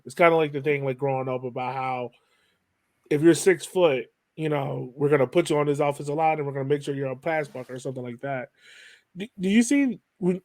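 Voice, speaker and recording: A man's voice: a little high, a little mellow. A man with an American accent hosting a podcast, asking questions in a casual, conversational style. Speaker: podcast host, interviewer. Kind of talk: casual interview chat.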